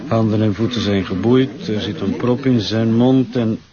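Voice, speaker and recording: An actor with a Flemish accent playing a calm coroner who reports facts clinically in a radio play. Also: male voice, fairly low pitch, moderate pace, crowd noise, close to an everyday voice. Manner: clinical, factual. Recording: dull lo-fi radio recording with people around.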